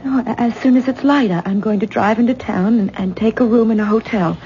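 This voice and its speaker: An actress playing a distressed, weary, self-effacing wife in a 1970s radio drama, pleading and hesitant. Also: female voice, on the high side, fairly quick, mellow, close to an everyday voice. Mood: weary resolve. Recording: muffled, hissy 1970s radio recording.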